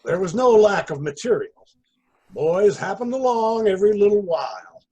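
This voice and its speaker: A man reading a literary story aloud as expressive narration, with deliberate storytelling pauses. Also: sits high, slightly rough. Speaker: story reader.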